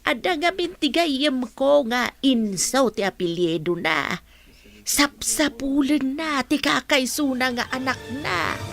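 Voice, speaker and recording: A woman's voice: sits high, sing-song, slow pace, creaky, fairly bright tone, exaggerated voice. An actress playing a chatty, deferential older village woman in a radio drama, with an Ilocano accent. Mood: curious.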